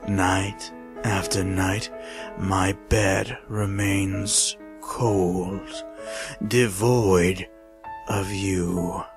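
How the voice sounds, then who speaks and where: Casting: male actor as eerie longing lover, low voice, extremely slow romantic pleading, over prominent music. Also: level tone, heavily acted. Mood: longing, mournful. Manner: drawn-out, deliberate romantic pleading. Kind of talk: horror story character acting.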